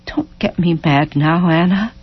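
A woman voicing a worried, gentle husband in a literary story, softly beseeching his wife in folksy speech. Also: fairly low pitch, measured pace, husky, highs cut off. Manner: soft, beseeching, folksy dropped endings.

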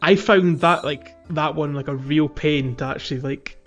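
A man's voice: fairly high pitch, moderate pace, fairly bright tone. A man chatting casually as the co-host of a podcast. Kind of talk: casual chat. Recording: dull lo-fi audio, faint music.

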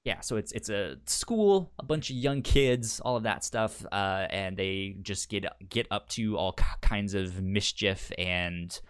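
A man with a somewhat low voice talks in relaxed podcast chat. He stammers a lot and repeats the first sounds of words before he gets them out. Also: unhurried, hardly any pauses, clear, resonant tone. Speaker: podcast co-host. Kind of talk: casual chat.

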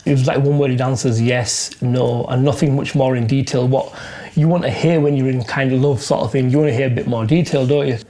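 Middle-aged british guy just telling his own life story, chatty and colloquial, ordinary mid-pitched voice. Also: fairly even intonation, brisk, hardly any pauses, dark tone. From UK, Pakistani family. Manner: informal, colloquial. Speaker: interviewee. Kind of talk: personal storytelling, conversational.

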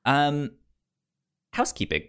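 Stammering podcast host with lively, swinging pitch. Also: slow, smooth. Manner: stammers, repeating syllables and words. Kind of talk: casual chat about a film.

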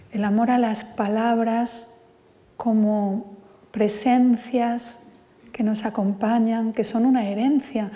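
Spanish woman poet, lecture delivery, warm, mellow tone, reverberant hall. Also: mid-range voice. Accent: Spain Spanish. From Spain. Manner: thoughtful, measured, lingers on words. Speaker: poet giving a talk. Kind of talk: reflective lecture with poetry reading.

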